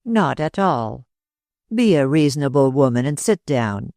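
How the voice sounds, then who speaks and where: A woman voicing a calm, curt young Englishman in a read-aloud story. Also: rumbling low, a little slow, dry recording, studio quality, exaggerated voice. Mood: cool. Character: cool-headed young Englishman.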